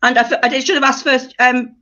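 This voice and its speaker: A woman's voice: high-pitched, fairly even intonation, fairly quick, bright, forceful tone, lo-fi sound. A woman leading an informal writing workshop, giving encouraging instructions and explaining things through examples. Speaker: creative writing workshop leader.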